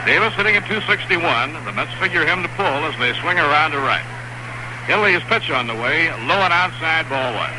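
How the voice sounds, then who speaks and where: A man giving play-by-play baseball commentary from a stadium booth, with a measured, explanatory delivery. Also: a little high, animated. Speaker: play-by-play announcer. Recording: old radio broadcast with loud noise.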